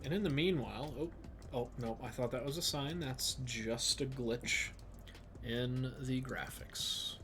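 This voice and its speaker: A man with a stuffed-up nose, thinking aloud slowly with lots of fillers as he streams a geography game. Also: medium pitch, a bit bright, slight background noise. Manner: slow, musing, thinks aloud with many fillers. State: stuffed up, sniffly. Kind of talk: casual live-stream commentary.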